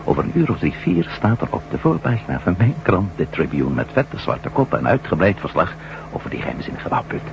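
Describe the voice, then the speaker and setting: A headstrong reporter and former astronaut in a radio play. His voice sits low but swings widely as he turns wry or indignant. The old recording is dull, with clear hiss and hum. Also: huge pitch swings, brisk, exaggerated voice. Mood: sly, gleeful. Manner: indignant, wry, theatrical delivery. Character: headstrong, sardonic male ex-astronaut turned newspaper reporter. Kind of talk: radio drama acting in Dutch.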